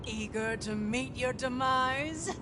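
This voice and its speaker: High, grand, haughty female festival herald. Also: slow pace. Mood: mocking, scornful. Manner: declamatory, scornful. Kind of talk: grand theatrical game voice acting. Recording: loud game music underneath.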